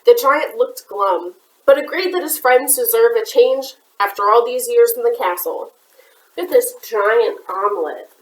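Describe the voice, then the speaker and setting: A woman's voice: a little high, a little slow. A woman children's librarian reading a picture book aloud to kids, with chatty asides. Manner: chatty asides to young listeners. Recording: roomy echoey room.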